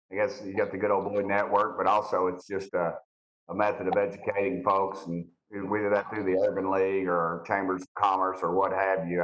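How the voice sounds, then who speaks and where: A man's voice: low voice, a bit bright. A man with a Southern Tennessee accent, a congressman questioning witnesses in a folksy, courteous, plain-spoken way. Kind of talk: informal questioning at a formal hearing. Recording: roomy, echoing hearing audio.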